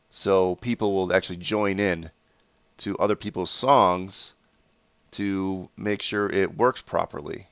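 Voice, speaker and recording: Man, nasal, stuffy head cold, low voice, dry close mic, rambling gameplay commentary. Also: a bit bright, clean tone, no echo. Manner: rambling, with many fillers and self-corrections. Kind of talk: casual let's play commentary.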